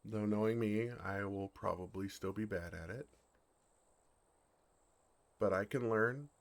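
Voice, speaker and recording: Man playing a bashful, low-voiced lovestruck dragon. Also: unhurried, creaky. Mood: tender, earnest. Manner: hesitant, tender, softly awkward. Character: bashful, earnest male dragon shyly in love. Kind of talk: ASMR romantic fantasy roleplay.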